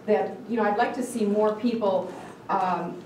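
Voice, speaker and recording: A woman's voice: mid-range voice, steady tone, a little slow, a little mellow, slight background noise. A dietitian from Michigan, with a Michigan accent, gives an earnest talk and puts questions to a live audience, often restarting her words. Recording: echoey room picked up from a distance.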